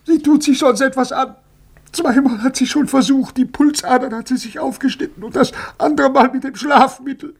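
A husky, creaky-voiced old father in a German radio play. He implores in halting, repeated phrases, strained and desperate. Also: extremely high pitch, steady tone, exaggerated voice. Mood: desperate pleading. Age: older. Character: desperate wealthy old father pleading for his sick daughter. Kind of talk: German radio drama acting.

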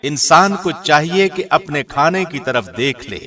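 A man reading a scripture translation as a scripted narration, in a measured, formal way. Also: on the high side, animated, fairly bright tone. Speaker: translation narrator, radio host.

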